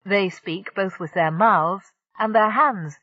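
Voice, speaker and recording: A woman's voice: mid-range voice, slow, dry recording. Woman voicing a precise, instructive male diplomat. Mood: teaching. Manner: explanatory, precise. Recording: dull lo-fi dry recording.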